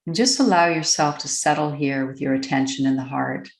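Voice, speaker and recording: A woman with a very low voice and a marked creak leads a guided meditation. She speaks gently and deliberately, leaving long pauses as she goes. The recording has a clearly roomy, echoing sound. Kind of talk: slow, unscripted guided meditation.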